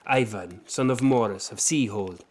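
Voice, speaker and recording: An actor playing a seasoned, weary general who recounts memories in a measured, solemn way in a fantasy audio drama. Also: male voice, on the low side, natural voice. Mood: solemn reminiscing. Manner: measured, plain-spoken, solemn.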